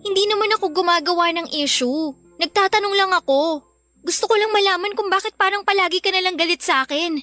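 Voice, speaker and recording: Very high, plaintive little sister. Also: female voice, a little fast, pushed and bright, no echo, soft background music. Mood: apologetic, hurt. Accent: Filipino. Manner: plaintive, earnest. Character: hurt, pleading, affectionate younger sister. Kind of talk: Filipino radio drama acting.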